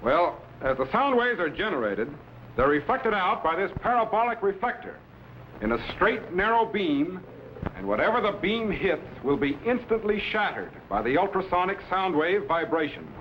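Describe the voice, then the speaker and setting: An assured American scientist captain, with a high, animated voice and a patient teaching manner. It is old sci-fi serial acting on a muffled vintage soundtrack. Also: male voice, normal speed. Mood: calm, instructive. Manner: didactic, assured. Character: authoritative male scientist captain, calm, patient mentor. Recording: dull, noisy vintage broadcast soundtrack.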